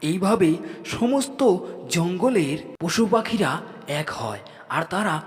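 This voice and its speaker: Reverberant, highish male story narrator. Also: lively intonation, takes it slowly. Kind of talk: dramatic storybook narration for a cartoon. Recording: long hall reverb.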